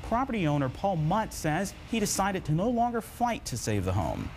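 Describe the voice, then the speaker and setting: American guy anchoring the news, higher voice, really animated delivery, bit of noise behind. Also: moderate pace. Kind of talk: scripted news narration.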